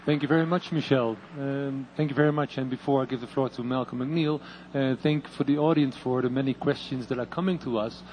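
A man's voice: medium pitch, fairly quick, darkish tone, slight background noise, rough recording. Hesitant man moderating a panel. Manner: hesitant, many uhs and restarts.

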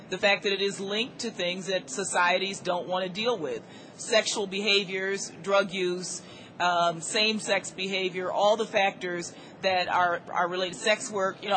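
A woman answering a question on a panel, measured and thoughtful, with frequent pauses and restarts. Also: fairly low pitch, a bit bright, slight background noise, rough recording.

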